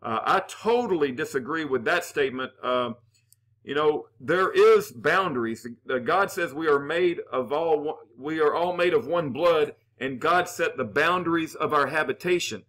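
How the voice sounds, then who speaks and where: A man hosting his channel, giving opinionated commentary with Bible references in an earnest, halting way full of "uh" fillers and restarts. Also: on the high side, animated, fairly bright tone, low-quality recording. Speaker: channel host reading and answering viewer comments.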